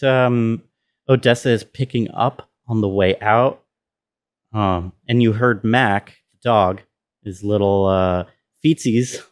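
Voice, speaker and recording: A man's voice: lowish voice, clear, resonant tone. A man giving a casual tutorial as a sound designer, explaining step by step and checking in with the listener.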